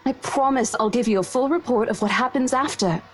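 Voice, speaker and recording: A woman's voice: on the high side, a little fast, lightly acted. A woman voicing a reassuring young agent. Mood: coaxing. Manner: coaxing, reassuring. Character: caring, level-headed young real estate agent. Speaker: character in a visual novel. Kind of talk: game voice acting. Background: faint game music.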